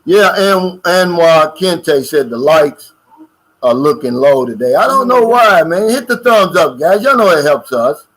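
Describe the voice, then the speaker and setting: A man's voice: a little high, lively intonation, unhurried, fairly bright tone, low-quality recording. An older American man co-hosting a casual livestream chat and reading out viewer comments in a folksy, drawling way. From United States. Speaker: co-host of a livestream.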